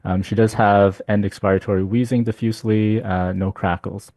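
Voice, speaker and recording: A man with a low, mellow voice walks through a clinical case, his delivery dotted with ums and uhs. The recording is dry and close. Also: flat delivery, normal speed, dry recording. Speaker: physician speaker.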